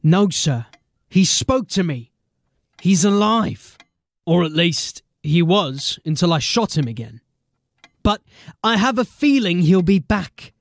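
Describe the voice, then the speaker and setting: A man playing a determined, embattled police sergeant in a dramatic audio drama, speaking earnestly and deliberately. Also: sits high, big pitch swings, no echo, exaggerated voice. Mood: grave, insistent.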